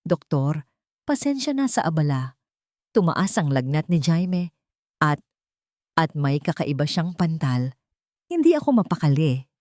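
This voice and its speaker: A woman's voice: deep voice, sing-song, measured pace, long pauses, darkish tone, no echo, studio quality. A woman voicing a resolute, protective wealthy wife in an audiobook, anxious but firm, with urgent emotion. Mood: feigned panic. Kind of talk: audiobook character dialogue.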